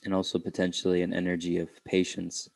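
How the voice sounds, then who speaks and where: A man leading an unscripted guided meditation, speaking reflectively in halting phrases with pauses. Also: low-pitched, completely flat delivery, creaky, a little mellow, dry recording.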